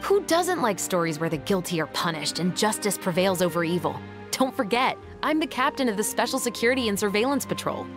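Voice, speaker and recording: A woman acts as a dutiful young captain in a video game. She speaks at a medium pitch, formal and measured, with composed earnestness. Music plays behind her. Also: big pitch swings, close to an everyday voice. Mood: earnest, dutiful.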